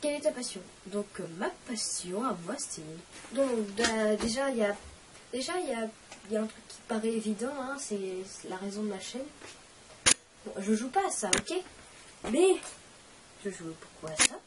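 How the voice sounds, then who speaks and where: A woman's voice: on the high side, expressive, normal speed, recorded from a distance, background noise. A teenage girl with a cold, chatting in a rambling, self-mocking way while hosting a casual question-and-answer vlog. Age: teens. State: has a cold, coughing. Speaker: channel host.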